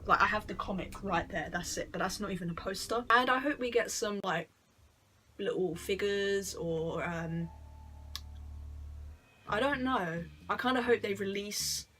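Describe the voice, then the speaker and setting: A woman's voice: mid-range voice, unhurried, sharp tone, a little hiss or hum, rough recording. A young woman chatting casually in a vlog from her bedroom, rambling and apologetic, sounding tired. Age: young adult. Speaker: YouTuber answering fan questions.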